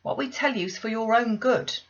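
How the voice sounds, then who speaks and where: Woman acting a brisk, bossy nursemaid, pitched up. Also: lo-fi sound, lightly acted. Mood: admonishing. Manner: bossy, admonishing. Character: brisk nursemaid, firm but not unkind.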